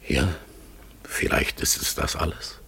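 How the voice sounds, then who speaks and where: An actor playing a gentle, melancholy talking raven in a radio play, halting and solemn. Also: male voice. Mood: awed, hushed. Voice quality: husky, gravelly, breathy. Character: melancholy talking raven exiled among humans, searching, gentle. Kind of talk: German radio play acting.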